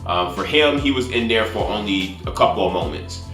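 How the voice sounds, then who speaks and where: A man giving a casual spoiler-filled movie review as a YouTuber, haltingly, often repeating and restarting his phrases. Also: lowish voice, expressive, a bit bright, roomy sound, faint music behind.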